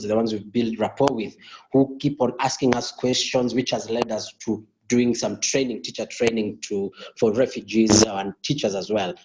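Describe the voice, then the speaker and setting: A man giving a presentation in a webinar over an online call. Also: medium pitch. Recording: dull, noisy online call.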